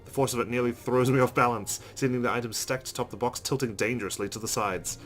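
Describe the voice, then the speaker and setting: A man's voice: medium pitch, steady tone, fast. A man hosting a let's play, reading visual novel narration aloud. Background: game music.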